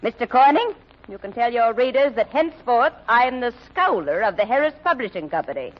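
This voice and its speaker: A bitter widowed mother in a vintage radio drama. She is caustic and bluntly sarcastic, with big swoops of pitch, on a dull, muffled old recording. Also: sing-song, brisk. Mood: gleefully sour. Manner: dry, caustic, declamatory. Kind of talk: old-time radio acting.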